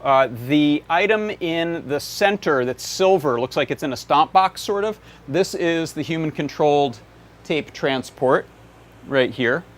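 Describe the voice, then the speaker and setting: Man, slightly high pitch, casual live demo, workshop host, explanatory. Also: unhurried. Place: indoor workshop.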